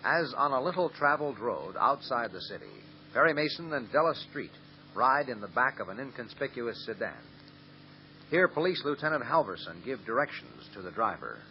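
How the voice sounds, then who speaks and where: A man's voice: mid-range voice, very animated, normal speed. A man narrating scene-setting as a 1940s radio drama announcer. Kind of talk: scripted narration. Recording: dull old radio recording, faint hiss.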